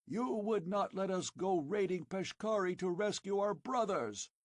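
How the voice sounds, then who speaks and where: Shrieky furious old chief, way up high, loud and frothing. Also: male voice, animated, a little slow, no room sound, clean studio sound. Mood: furious accusing. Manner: shrill, accusing, frenzied shouting.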